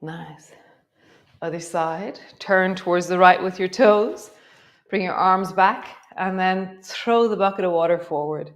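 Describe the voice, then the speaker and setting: A middle-aged woman, gently guiding a yoga class with a slightly stuffy nose. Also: fairly low pitch. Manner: gentle, encouraging, drawn-out cues. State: stuffy nose from a coming cold. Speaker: yoga teacher. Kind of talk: guided yoga class instruction.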